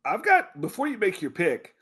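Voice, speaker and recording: A man hosting a casual sports podcast. He is jokey and excitable and keeps the talk playful. His voice sits a little higher than most men's. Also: moderate pace, a crowd in the background. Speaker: podcast host.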